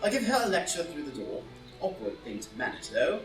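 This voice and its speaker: A woman's voice: deep voice, very animated, brisk. A woman playing a witty English lord, a loyal and flustered friend, with theatrical emphasis in a staged play reading. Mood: brisk, harried. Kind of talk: stage play read aloud as audio drama. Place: indoor room, live in-person reading. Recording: roomy live group reading, distant mic, music.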